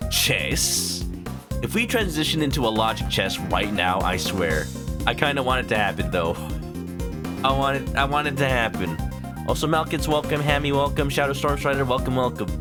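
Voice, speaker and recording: Playful man streaming a game. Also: mid-range voice. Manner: playful, often reads game text aloud and shouts catchphrases. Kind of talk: casual gaming commentary. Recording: game music behind the voice.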